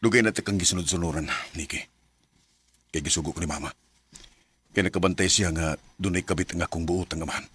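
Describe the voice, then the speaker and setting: A man's voice: deep voice, steady tone, quick pace, long pauses, fairly bright tone, breathy edge. An actor playing a scheming young suitor out to avenge his mother in an emotional radio drama, with a Cebuano accent. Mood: cold, vengeful.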